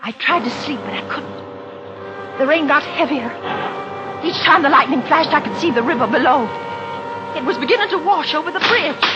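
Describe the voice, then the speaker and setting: A woman's voice: high-pitched, expressive, normal speed, long pauses. A woman playing a frantic, spiteful middle-aged wife in fear for her life, in melodramatic old radio thriller style. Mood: ominous narration. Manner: melodramatic, pleading, hysterical. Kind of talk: 1940s-50s radio thriller acting. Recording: dull old radio recording with music.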